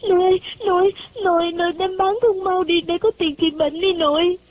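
A woman's voice: extremely high pitch, level tone, fairly quick, highs cut off, voice pushed up. A woman voicing a devoted, tearful eight-year-old girl who pleads with her grandmother in a childlike way, in a storybook reading. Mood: eager. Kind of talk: audiobook character voice.

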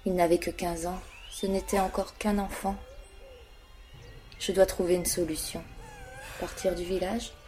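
A woman's voice: on the low side, flat delivery, unhurried, pauses often, warm tone, soft background music, lightly acted. A woman playing an anguished, protective young mother in an audio drama, speaking earnestly. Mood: grieving. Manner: earnest, sorrowful. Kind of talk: dramatic monologue in an audio play.

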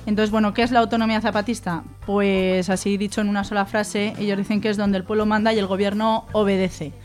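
A woman's voice: medium pitch, flat delivery, brisk, a little mellow, soft background music. A woman with an accent from Spain explains informally on the radio, didactically asking questions and answering them herself. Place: live radio studio.

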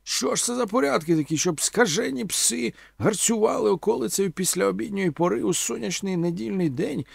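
A man's voice: high voice, big pitch swings, normal speed, gravelly, a little mellow, breathy edge, dry recording, clean studio sound, voice pushed up, heavily acted. A man voicing a pompous, sardonic, tipsy vagabond intellectual who declaims in bookish, mocking phrases. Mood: indignant.

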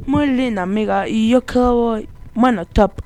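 A woman's voice: fairly high pitch, measured pace, darkish tone. A young woman with an Argentine accent playing an easygoing, chatty friend in improvised radio theatre.

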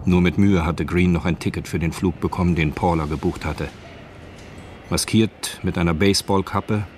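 Male narrator, very low voice, sober, measured, scripted radio drama, faint background ambience. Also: a little hiss or hum.

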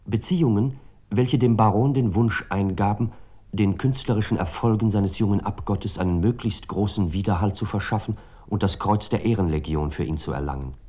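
A man reads literary prose as a narrator, his voice fairly low, mellow and noticeably husky. The delivery is formal and measured, carrying long, composed sentences.

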